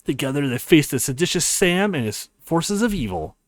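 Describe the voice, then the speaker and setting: A theatrical young college guy who sees himself as an anime hero, acted by a male let's player. He is boyish and excitable, with a fairly high, wildly swinging pitch. It is visual novel dialogue with a dry sound. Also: normal speed, dry recording. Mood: excited, boastful.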